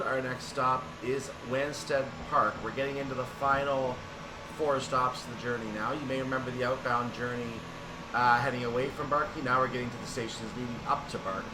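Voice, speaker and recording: A man's voice: mid-range voice, moderate pace. A man giving play-along commentary on a train simulator, slipping into station-announcer style calls. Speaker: gameplay commentator. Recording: steady noise behind the voice.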